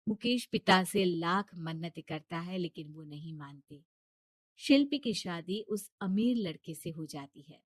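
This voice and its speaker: A woman's voice: fairly low pitch, lively intonation, a little slow, slightly rough, dry recording. A woman reading scripted narration for a moral cartoon story in a storyteller's style.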